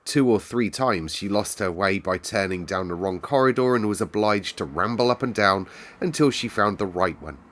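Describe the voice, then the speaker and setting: A man's voice: low-pitched, fairly bright tone. A man hosting a story time, speaking as a storyteller addressing his listeners. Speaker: storyteller and host. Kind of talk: expressive read-aloud and casual chat to listeners.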